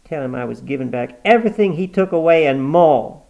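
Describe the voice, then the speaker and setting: A man reading aloud, voicing a sharp-tongued, stubborn old woman on her deathbed who scolds and commands. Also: medium pitch, expressive, a little fast, creaky, muffled recording, pitched above the performer's own voice. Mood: pleading. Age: 80s.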